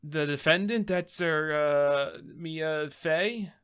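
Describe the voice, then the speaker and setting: A man's voice: a little high, slow pace, a bit bright, smooth. A man playing an earnest, nervous young rookie defense lawyer in a game script, hesitant in his delivery. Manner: hesitant, stammering.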